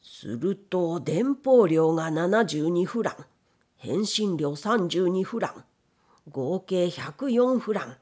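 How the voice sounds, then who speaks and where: A woman's voice: lowish voice, lively intonation, takes it slowly, darkish tone. Stern old male boss, played by a woman narrator, blunt, imperious, old-fashioned, storybook character. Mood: businesslike, commanding. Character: stern old male factory owner, commanding and probing. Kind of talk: audiobook character reading.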